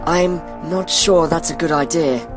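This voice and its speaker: A man's voice: fairly high pitch, measured pace, music in the background, close to an everyday voice. A man playing an earnest, cautious adventurer, speaking in a grave, formal mock-epic manner in a comedic fantasy radio play. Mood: disapproving. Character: solemn, cautious, earnest quest companion trained by monks.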